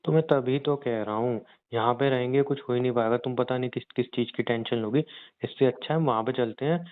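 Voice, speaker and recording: A man's voice: medium pitch, brisk, clear, resonant tone, lightly acted. Man playing a pleading young husband, phone-like sound. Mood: coaxing. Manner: coaxing, insistent, aggrieved. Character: pleading, sulky young husband wooing his wife. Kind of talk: staged intimate phone-call style conversation. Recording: thin, phone-like narrowband audio.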